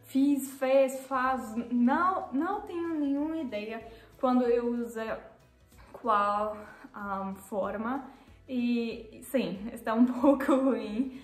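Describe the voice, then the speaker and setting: A young woman from Germany speaking with a German accent, who often pauses and corrects herself and laughs at her own mistakes. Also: on the high side, reverberant, faint music behind. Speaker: vlogger. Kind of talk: casual vlog talk to camera. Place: living room, seated on a sofa.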